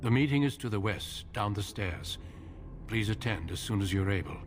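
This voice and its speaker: An actor playing a grave, composed veteran warrior commander in fantasy game dialogue, measured and formal. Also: male voice, lowish voice, lively intonation, a little hiss or hum, lightly acted. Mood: solemn, composed.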